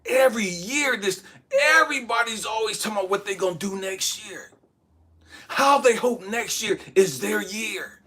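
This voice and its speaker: A man giving a blunt, profane motivational talk, shouting one moment and dropping to hushed asides the next. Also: sits high, moderate pace, breathy edge. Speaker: motivational speaker. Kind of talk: impassioned motivational monologue.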